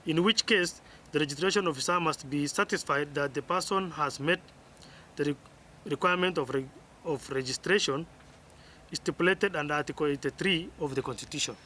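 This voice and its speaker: Halting Kenyan man, bright forceful voice. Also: a little high, a little hiss or hum. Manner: halting, stumbles and repeats words. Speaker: electoral commissioner reading a ruling. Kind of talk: formal reading of a legal ruling.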